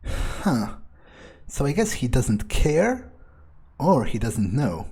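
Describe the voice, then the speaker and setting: Man playing a brooding, power-hungry vampire, slow and deliberate. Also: mid-range voice, very animated, mellow. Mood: calculating, musing. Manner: brooding, deliberate, grandiose, with trailing pauses. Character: power-hungry, menacing male vampire, musing coldly on revenge. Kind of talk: in-character game playthrough monologue.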